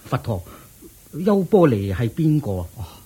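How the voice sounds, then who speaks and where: A man's voice: mid-range voice, sing-song, a little slow, mellow, slightly rough, heavily acted, rough recording. A man with a Hong Kong Cantonese accent playing a proud, worried wealthy father who pleads and frets in a radio drama. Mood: fretful. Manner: pleading, fretful.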